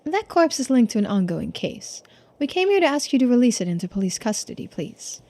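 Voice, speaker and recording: A woman voicing a poised, unflappable female investigator in a video game, polite and gently needling in measured, formal phrases. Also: fairly high pitch, sing-song. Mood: businesslike. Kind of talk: game character voiced in a playthrough.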